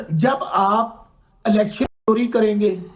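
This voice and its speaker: A hoarse man from Pakistan, a political guest arguing insistently in a heated talk-show debate and pressing to be heard. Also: high-pitched, slow pace. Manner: insistent, keeps asking to be heard.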